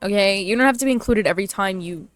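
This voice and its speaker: A woman's voice: medium pitch, fast, faint music behind. A woman giving casual, slangy, teasing commentary as she plays through a game. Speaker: streamer reacting to a game.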